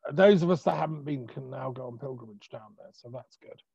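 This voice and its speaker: A man hosting an online conference and introducing a speaker informally, halting and often restarting, over muffled call audio. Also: medium pitch, vocal fry, darkish tone, husky, no room sound.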